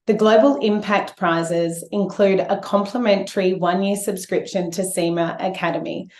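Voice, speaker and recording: A woman's voice: mid-range voice, fairly even intonation, normal speed, darkish tone, roomy sound. A woman presenting awards in a polished, upbeat scripted announcing style.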